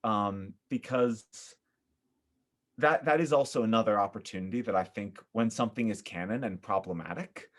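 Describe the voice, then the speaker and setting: A man's voice: on the low side, moderate pace. American man chatting animatedly on a podcast. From upstate New York, United States. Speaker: podcast guest. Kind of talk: casual podcast conversation.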